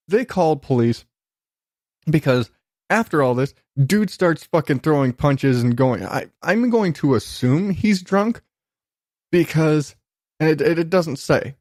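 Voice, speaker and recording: A man's voice: a little high, darkish tone, no room sound, clean studio sound. A man hosting a podcast in a casual, ranting comic monologue, exasperated and emphatic, with plenty of swearing. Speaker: podcast host.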